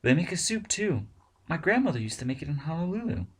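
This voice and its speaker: Man doing a higher-voiced woman crewmate, earnest, in a read-aloud story. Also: big pitch swings, fast, breathy edge, exaggerated voice. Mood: chatty. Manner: urgent. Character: woman crew member, urgent and earnest.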